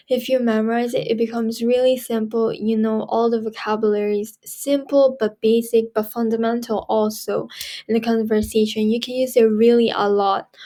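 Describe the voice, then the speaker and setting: A teenage girl from Korea, speaking English, talks through a lesson as a student tutor. Her voice sits a little high, and she takes her time, leaning on repeats and fillers to stress her points. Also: mellow. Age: teens. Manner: chatty, repeats herself for emphasis, many fillers. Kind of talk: casual recorded lesson.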